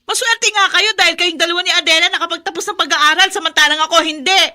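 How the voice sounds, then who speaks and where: A woman's voice: extremely high pitch, steady tone, fast, pushed and bright, exaggerated voice. A woman playing a weary, self-sacrificing eldest daughter in a Filipino radio drama, with a pleading, emotional delivery. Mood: resentful.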